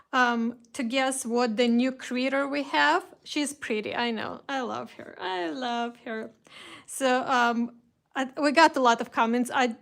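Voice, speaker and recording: A woman's voice: fairly high pitch, a bit bright. A woman with a Russian accent hosting a casual live craft kit reveal, chatting with viewers, greeting them by name and restarting her sentences often. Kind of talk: casual live-stream chat.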